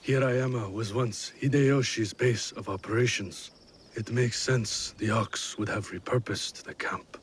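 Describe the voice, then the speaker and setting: A grave, weary samurai strategist played by a male actor in a game cutscene. His mid-pitched voice is measured and solemn, cautious in every line, with faint outdoor ambience behind it. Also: fairly even intonation, slight background noise, natural voice. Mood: somber. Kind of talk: dramatic video game cutscene acting.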